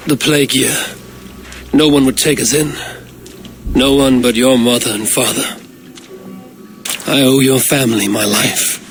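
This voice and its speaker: An actor playing a blunt, self-assured Viking warrior in a video game drama. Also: male voice, medium pitch, fairly even intonation, a little slow, pauses often, faint music behind, close to an everyday voice. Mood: calm, reflective.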